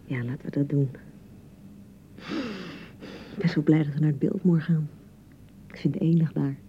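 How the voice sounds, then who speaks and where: An actress with a Dutch accent playing a young, anxious newlywed bride in a radio drama, touchy and fretful, chattering and repeating herself. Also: female voice, low-pitched, steady tone, moderate pace, dull audio, slight background noise, natural voice. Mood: eager, chatty. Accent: Dutch (Netherlands). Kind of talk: naturalistic radio drama acting.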